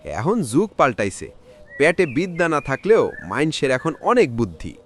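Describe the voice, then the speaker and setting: Male actor as simple older village uncle, rural Bangladeshi accent, homely wisdom, swinging pitch, faint noise. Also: huge pitch swings, normal speed. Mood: resigned, agreeable. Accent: rural Bangladeshi Bengali. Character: simple, agreeable older village uncle, folksy and worldly-wise. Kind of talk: cartoon village drama dialogue. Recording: faint background noise with clicks.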